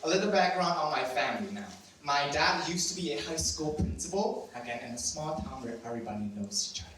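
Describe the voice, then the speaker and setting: Young adult man, Cambodian accent, Khmer native, brightish higher voice, live storytelling, self-mocking and cheeky, faint hum behind. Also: animated, fairly quick. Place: event venue with audience. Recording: very echoey room, mic at a distance.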